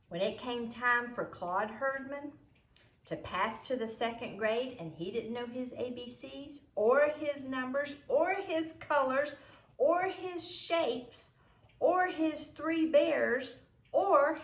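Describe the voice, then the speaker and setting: A woman reading a storybook aloud in an expressive, deliberate and emphatic storytelling manner. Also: on the high side, roomy sound. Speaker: storybook reader. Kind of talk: expressive read-aloud narration.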